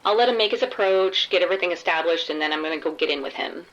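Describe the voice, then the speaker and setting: A woman's voice: lowish voice, fast, fairly bright tone, highs cut off, lightly acted. A woman playing a wry undercover policewoman in a radio drama, talking casually and matter-of-factly. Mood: uneasy, businesslike. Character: wry undercover policewoman posing as a street sex worker.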